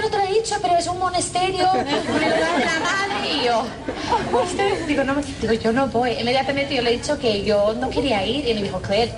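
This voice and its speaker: A woman from Northern Ireland with an Irish accent and English as her first language, giving a humorous, self-mocking testimony and acting out the quotes in a raised voice. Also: on the high side. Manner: lively storyteller who acts out quotes in a raised voice, self-mocking. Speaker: speaker giving a personal testimony. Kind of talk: humorous testimony talk to an audience. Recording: distant mic with audience and noise behind.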